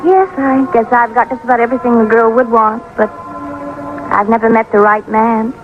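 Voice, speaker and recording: An actress playing a sweet-talking young city woman in an old radio drama, coy, wistful and quietly scheming. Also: fairly high pitch, fairly even intonation, moderate pace, lightly acted. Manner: soft-sell, coy, wistful. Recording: muffled vintage radio recording, faint music.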